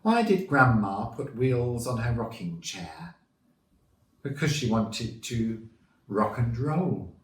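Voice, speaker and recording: A man's voice: mid-range voice, sing-song, slow, reverberant. A man voicing a confident, reassuring father hyena in a children's storybook. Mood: joking. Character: confident hyena father, devoted joke-telling dad.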